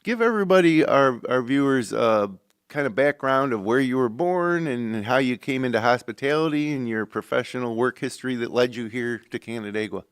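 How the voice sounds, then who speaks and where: A middle-aged man hosting a local studio talk show, relaxed and conversational with plenty of ums and uhs. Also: mid-range voice, a little fast, clear, resonant tone, dry recording. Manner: relaxed, conversational with many fillers. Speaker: host.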